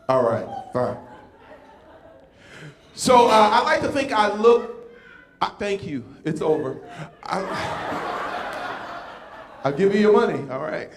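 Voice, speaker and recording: Fifties guy doing stand-up, high voice that bounces all over, echoey room. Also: slow, long pauses. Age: 50s. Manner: animated storytelling, acting out voices. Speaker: stand-up comedian. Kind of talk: stand-up comedy set. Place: comedy club stage with live audience.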